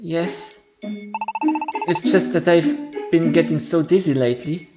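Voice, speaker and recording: Soft, anxious mother creature voiced by a gamer. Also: medium pitch, slow pace, over loud music, close to an everyday voice. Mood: anxious, weak. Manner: hesitant, apologetic, quiet. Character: worried, gentle mother Pokemon, soft and faint.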